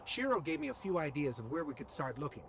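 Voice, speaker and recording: A man voicing a small, chatty robot companion in a video game, an eager and wry helpful guide with an expressive, conversational delivery. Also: fairly high pitch, fast talker, creaky, noisy background. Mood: wistful, wry. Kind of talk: video game voice acting, mission dialogue. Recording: game music under the voice. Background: game music.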